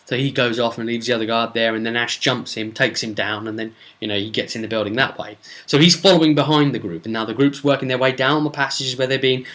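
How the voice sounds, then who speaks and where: A man speaking as a game master, casually retelling a role-play session with emphatic, drawn-out words for suspense. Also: medium pitch, steady tone, a bit bright. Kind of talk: casual spoken storytelling recap.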